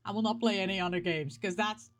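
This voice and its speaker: A woman hosting a casual video about games, talking in an expressive, rambling way and repeating words for emphasis. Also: medium pitch, quick pace. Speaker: host of a game collecting show. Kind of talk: casual chat, showing game pick-ups.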